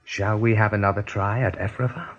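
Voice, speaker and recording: An actor playing a determined rabbit chief in a radio play, earnest, commanding and persuasive. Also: male voice, lowish voice, fairly even intonation, brisk, dull audio, close to an everyday voice.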